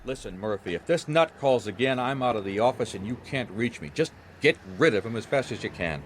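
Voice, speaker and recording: An actor playing a world-weary, wry private detective with an American accent in a noir radio drama, dry and hard-boiled in dialogue and narration. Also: male voice, mid-range voice, animated, brisk, slight background noise, close to an everyday voice. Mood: irritated.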